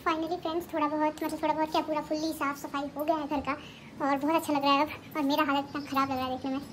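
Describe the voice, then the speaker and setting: A woman's voice: sits high, level tone, fast, a little hiss or hum. A young woman from India with a Hindi accent, chatting casually to viewers as she cooks and tidies her home, explaining each step. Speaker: family vlogger. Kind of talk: casual home vlog narration. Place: home kitchen and bedrooms, indoors. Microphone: handheld phone at arm's length.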